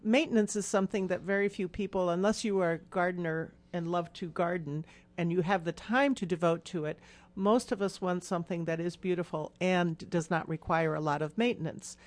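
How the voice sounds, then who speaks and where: Woman, slightly low pitch, articulate, measured, explanatory conversation, design professional. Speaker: interior and exterior designer, guest.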